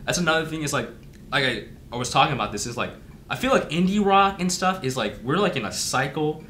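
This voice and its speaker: A man's voice: on the high side, fast talker, a little hiss or hum. A young man from Thailand, chatting animatedly about his band in a small studio. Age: young adult. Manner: animated, acts out quotes. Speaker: band frontman. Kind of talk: casual chat. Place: small studio room, indoors. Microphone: boom mic.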